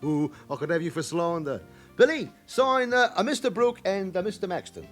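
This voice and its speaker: A chatty, shady club owner in a radio crime drama. His voice is high for a man and swings widely in pitch, with a teasing, ingratiating manner. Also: lively intonation, normal speed, a bit bright, faint music behind. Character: chatty, slyly genial male London club owner with criminal ties. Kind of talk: naturalistic radio drama acting.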